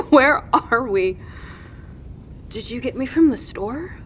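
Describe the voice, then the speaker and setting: A woman's voice: sits high, lively intonation, slight background noise, heavily acted. A woman playing a weak, wounded survivor in an audio drama, wary and blunt, speaking in weary sighs. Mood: groggy, confused. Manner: slow, weak, sighing. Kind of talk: scripted audio drama acting.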